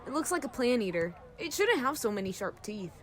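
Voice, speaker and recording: A woman playing a cheeky, irreverent, excitable friend who teases and wisecracks in an audio drama. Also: a little high, brisk. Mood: playful, opinionated. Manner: wisecracking, teasing.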